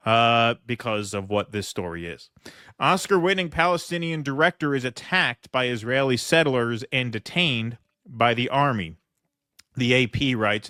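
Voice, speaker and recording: Bright-voiced man reading news. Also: mid-range voice, dry recording, studio quality. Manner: reads news aloud with frequent fillers. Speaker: political commentary show host.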